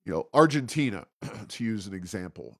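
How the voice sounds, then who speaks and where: A man's voice: fairly low pitch, big pitch swings, normal speed, no room sound. A man hosting a talk podcast, giving unscripted political and economic commentary in a discursive, argumentative manner.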